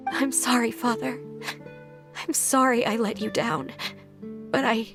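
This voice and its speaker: High-pitched young orphan girl, timid, sorrowful, hesitant, emotional, dramatic video game, clear background music. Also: steady tone, unhurried. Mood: tearful, apologetic. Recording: music under the voice.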